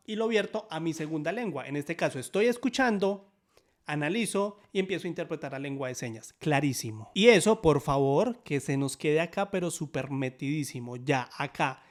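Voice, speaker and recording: Upbeat teacher checking in with viewers. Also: male voice, on the high side. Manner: upbeat, checks in often with rhetorical questions. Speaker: sign language instructor. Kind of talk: explanatory online lesson.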